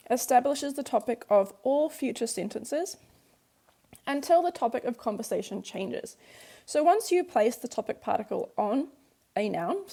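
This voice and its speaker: A woman's voice: a little high, gravelly, warm tone. Patient woman teaching a language lesson. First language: English. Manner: patient, walks through examples step by step, repeats key points. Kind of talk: explanatory workbook lesson.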